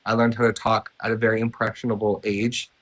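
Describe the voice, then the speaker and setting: A man's voice: on the low side, completely flat delivery, fast talker. A man raised in Texas whose first language was Hungarian, chatting enthusiastically on a live stream. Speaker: guest. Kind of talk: casual live stream chat.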